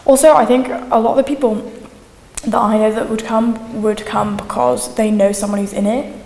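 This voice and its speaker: A woman's voice: on the high side, steady tone, normal speed, vocal fry, warm tone. A woman chatting casually in an interview as an amateur performer, phrasing her thoughts loosely and hedging as she goes. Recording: echoey hall with background noise.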